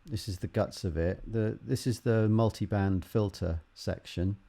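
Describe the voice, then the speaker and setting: Low, creaky-voiced man giving a tutorial. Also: mellow. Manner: halting, thinking aloud while working. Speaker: music production instructor. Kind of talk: unscripted software tutorial, explaining while demonstrating.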